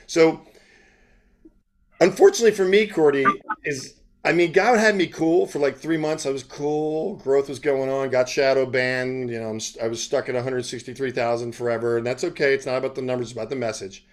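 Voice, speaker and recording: A man with a medium-pitched voice hosts an interview in a loose, conversational way. He rambles casually and often drifts into side remarks before returning to his point. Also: steady tone, a little fast. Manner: informal, conversational, digresses into asides. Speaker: interviewer, self-styled journalist host.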